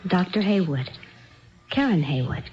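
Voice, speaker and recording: An actress playing a composed, authoritative therapist in a 1970s radio drama, reassuring and measured. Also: female voice, fairly low pitch, big pitch swings, darkish tone, dull audio. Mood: reassuring, brisk. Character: calm, firm woman therapist taking charge.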